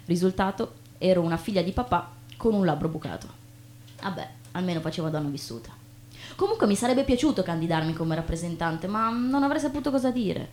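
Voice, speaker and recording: A woman acting on stage as a chatty, naive young woman, expressive and colloquial. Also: fairly low pitch, a little fast, close to an everyday voice. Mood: chatty, self-mocking. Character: chatty, inquisitive, self-deprecating young woman, breezily naive. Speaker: stage actress. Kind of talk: theatrical monologue and dialogue. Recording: noticeable background noise with hum.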